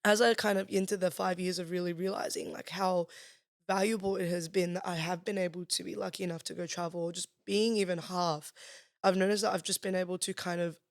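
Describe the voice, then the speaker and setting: A woman's voice: fairly low pitch, fairly quick, dry recording, studio quality. Chatty young woman co-host. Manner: chatty, animated, many "like" fillers. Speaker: podcast co-host. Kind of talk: casual podcast conversation.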